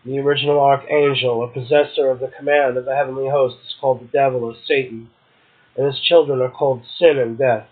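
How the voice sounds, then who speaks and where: A man's voice: mid-range voice, steady tone, fast talker. Phone-like recording of a man reading poetry. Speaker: poetry podcast host, poet. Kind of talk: poetry reading and casual commentary. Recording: muffled, tinny phone-like audio.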